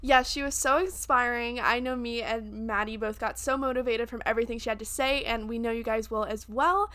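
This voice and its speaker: Bubbly high-voiced young woman host. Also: brisk. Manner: bubbly, excited. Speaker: podcast co-host. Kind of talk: scripted podcast intro.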